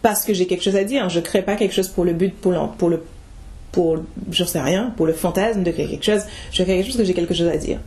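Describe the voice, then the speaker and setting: A woman's voice: on the low side, quick pace, darkish tone. A young woman vlogging casually about online business, thinking aloud with restarts and rhetorical questions. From France. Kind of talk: casual talking-head vlog.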